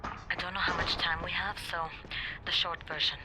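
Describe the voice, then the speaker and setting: An actress playing a brisk, determined female fighter in a video game, direct and businesslike. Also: fairly low pitch, flat delivery, heavy background noise, lightly acted. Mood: urgent. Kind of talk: naturalistic video game acting.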